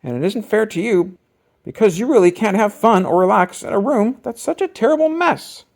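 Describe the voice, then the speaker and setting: Storybook casting: papa bear, acted by a reader, firm and practical, emphatic with wide pitch swings. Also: animated, normal speed, a little mellow. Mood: firm, exasperated. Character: adult father bear, firm, reasonable problem solver. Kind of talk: children's picture book read-aloud.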